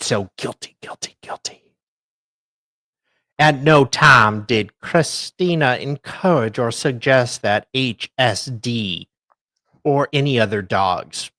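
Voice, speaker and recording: A co-host mixing dramatic reading of documents with casual chat in a deliberate, wry way. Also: mid-range voice, a bit bright, no echo.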